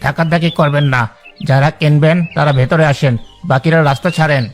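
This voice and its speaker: Cartoon shop owner, male performer: brusque, bossy, short on patience, a touch high, music faintly under. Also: fairly even intonation, quick pace, natural voice. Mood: impatient. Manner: curt, bossy. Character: brusque, impatient bazaar shopkeeper. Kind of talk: cartoon drama dialogue.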